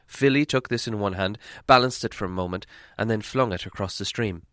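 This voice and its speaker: A man's voice: fairly low pitch, brisk, fairly bright tone, dry recording, studio quality. A man reading a fantasy adventure story aloud as scripted audiobook narration. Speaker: audiobook reader.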